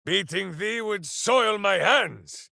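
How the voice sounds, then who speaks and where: A man's voice: high-pitched, expressive, slow, creaky, sharp tone, no room sound, studio quality, heavily acted. A man playing a dim, big-hearted warrior hero in a video game, boisterous and theatrical, speaking of himself in the third person. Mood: heroic, boisterous. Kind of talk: video game character voice lines.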